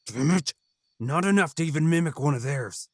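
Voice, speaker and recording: A man's voice: a little high, lively intonation, moderate pace, dry recording, studio quality. A man playing an irritable bandit in an audio drama, agitated and easily frustrated. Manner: agitated, cursing.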